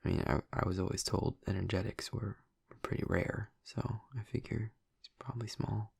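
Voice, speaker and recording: Hushed, gravelly young male vampire played by a man. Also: lowish voice, steady tone, moderate pace, no echo, clean studio sound, heavily acted. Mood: curious, attentive. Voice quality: breathy, husky, gravelly murmur. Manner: hesitant, rambling, casual. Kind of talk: intimate audio roleplay addressed to the listener.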